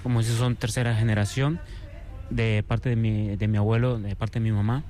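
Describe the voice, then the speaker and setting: A man with a Venezuelan accent answering interview questions casually, relaxed and a little hesitant, often repeating words. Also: on the low side, moderate pace, faint music behind. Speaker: circus artist, interview guest.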